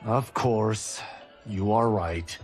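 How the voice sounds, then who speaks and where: A man's voice: on the low side, extremely slow, darkish tone, faint music behind. A man playing an eager, modest, obedient monk with an earnest, plain-spoken manner in a video game cinematic. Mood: surprised, deferring. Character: eager, modest male monk, easily impressed but obedient.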